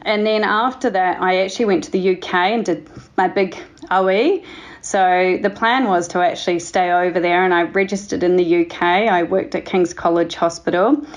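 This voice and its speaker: A woman's voice: on the low side, fairly even intonation, moderate pace. A woman with a New Zealand accent, a pharmacist telling her career story in an interview. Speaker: guest pharmacist and pharmacy owner.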